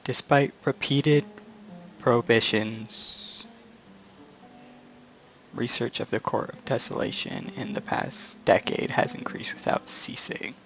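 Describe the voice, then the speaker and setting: A man reading game text aloud as a gaming video creator, a bit halting, with casual asides. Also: medium pitch, fairly even intonation. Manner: halting read-aloud with stumbles. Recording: game music clearly behind. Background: video game music.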